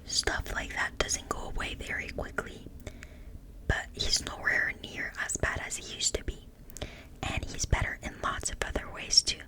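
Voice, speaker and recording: Whispering woman with a French accent. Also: moderate pace. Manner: soft, stumbles over words and apologizes. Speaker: ASMR creator. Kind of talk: whispered ASMR book reading.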